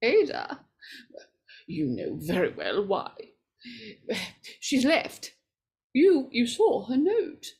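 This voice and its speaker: An actress playing a frightened, superstitious older woman in 1940s radio horror style, breathy and stammering. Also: fairly high pitch, wildly swooping pitch, darkish tone, full character voice. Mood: wistful, defensive. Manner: halting, stammering.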